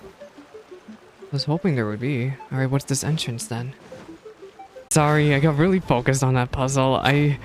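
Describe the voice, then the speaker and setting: A male streamer with a middle-pitched voice reacts casually to a game. He thinks aloud in a chatty, playful way. Faint game music sits behind his voice. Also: big pitch swings, a little slow, long pauses. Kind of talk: casual live gameplay reaction commentary.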